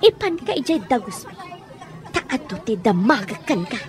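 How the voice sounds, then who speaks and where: A woman's voice: a little high, sing-song, moderate pace, a crowd in the background. A woman acting a menacing, commanding kidnapper in a radio drama, with an Ilocano Filipino accent. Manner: commanding, interrogating. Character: menacing woman kidnapper threatening her captive with a knife.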